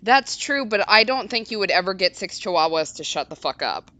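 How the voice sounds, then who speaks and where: A woman's voice: mid-range voice, expressive, brisk, sharp tone, no echo. A woman whose first language is Japanese, chatting on a game stream with dry, wry humor. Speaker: co-host streamer. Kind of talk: casual game-stream chat.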